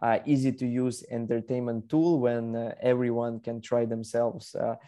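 A man's voice: medium pitch, little pitch movement, moderate pace, mellow. A young man from Ukraine with a Ukrainian accent, answering as an executive guest in a conversational podcast interview. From Kyiv, Ukraine.

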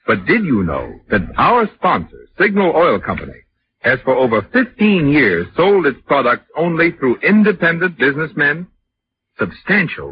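Casting: male vintage radio announcer, persuasive and polished, lively intonation, muffled recording. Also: mid-range voice, a little hiss or hum. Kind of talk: 1940s radio sponsor announcement.

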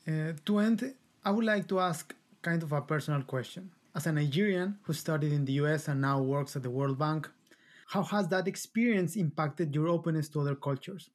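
A man hosting a podcast, asking questions and reading a formal scripted outro in a deliberate way. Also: fairly high pitch. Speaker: podcast host.

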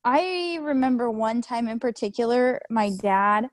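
Woman in her twenties, co-host, joking, a bit higher voice, dry close sound. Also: expressive, measured pace, no room sound. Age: 20s. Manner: joking, easygoing. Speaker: podcast co-host. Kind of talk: casual chat.

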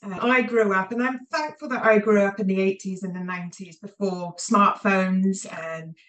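A woman's voice: medium pitch, fairly even intonation, normal speed, far from the mic, rough recording. A woman in her forties with a southern English accent, chatting casually and telling her story as a podcast guest. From southern England.

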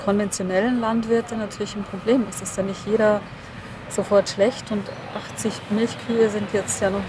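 A woman's voice: level tone, moderate pace. A woman giving spontaneous, earnest explanations as an interviewed expert, in informal, loosely built sentences. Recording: clearly noisy, vehicle-like ambient rumble.

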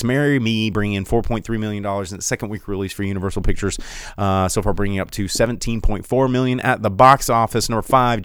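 A man's voice: fairly low pitch, clean tone, no room sound, clean studio sound. A man hosting a podcast, giving a casual, rambling run-through of weekend box office numbers with many fillers and restarts. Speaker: podcast host.

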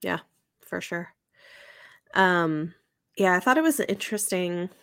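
Slightly low-voiced woman, casual and dry-sounding. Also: expressive, slow pace, gravelly, a bit bright, smooth, no room sound. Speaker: podcast co-host discussing books. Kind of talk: casual book chat.

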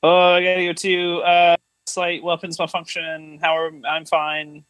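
A man's voice: a little high, completely flat delivery, unhurried, a bit bright, no room sound, rough recording. A man co-hosting a podcast in casual chat, playfully riffing with short quips. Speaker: podcast co-host.

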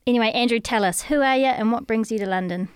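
A woman's voice: a little high, fast, smooth, dry recording. New Zealand woman hosting a podcast. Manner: chatty, friendly and teasing. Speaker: podcast host. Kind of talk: podcast interview. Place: studio.

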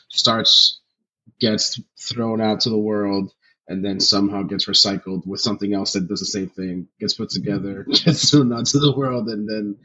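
A young man from New Jersey, a filmmaker guest on a podcast, talking casually in a relaxed, rambling way full of "you know" and "like". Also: lowish voice, lo-fi sound. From New Jersey, USA. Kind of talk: casual interview chat.

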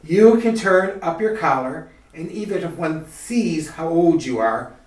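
Eager young groom acted by a man, earnest radio-drama delivery, lightish pitch, roomy off-mic sound. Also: lively intonation, measured pace, darkish tone. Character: eager young bridegroom hatching a plan. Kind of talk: retro radio mystery-comedy acting. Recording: echoey room, distant from mic.

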